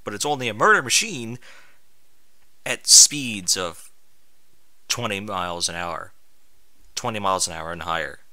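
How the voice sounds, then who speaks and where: A man's voice: fairly low pitch, expressive, measured pace, long pauses, bright, forceful tone, no room sound. A man reading a story aloud with exasperated, sarcastic, sweary commentary. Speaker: host reading and mocking amateur fan fiction aloud.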